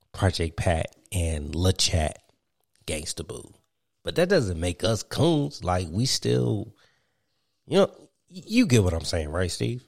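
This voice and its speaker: A man's voice: low-pitched, creaky, dry recording, clean studio sound. A young man from Virginia hosting a casual podcast chat, rambling with lots of fillers and laughing often. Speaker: podcast host.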